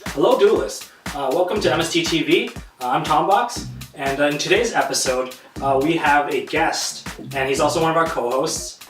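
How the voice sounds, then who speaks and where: A man's voice: on the high side, moderate pace, music in the background. A man hosting an interview, asking questions with frequent hesitant fillers. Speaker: host. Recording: echoey room from far off.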